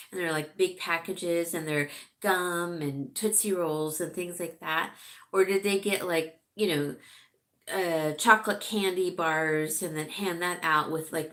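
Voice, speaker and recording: A woman's voice: on the low side, a bit bright. A middle-aged woman from California hosting a casual livestream, chatty and rambling, a bit congested and sniffling. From California, USA. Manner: rambling, chatty, reads viewer comments aloud. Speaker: livestream host chatting with viewers.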